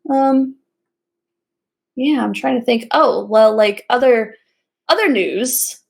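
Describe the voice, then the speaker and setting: A woman's voice: fairly high pitch, slow pace, gravelly. A woman co-hosting a podcast, chatting casually and laughing often. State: pregnant. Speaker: podcast co-host. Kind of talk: casual chat.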